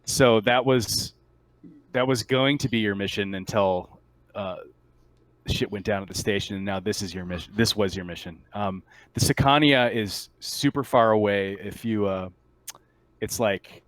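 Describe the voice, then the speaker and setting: A man narrating a tabletop roleplaying game as game master in a halting, conversational way. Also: fairly low pitch, dry recording, rough recording.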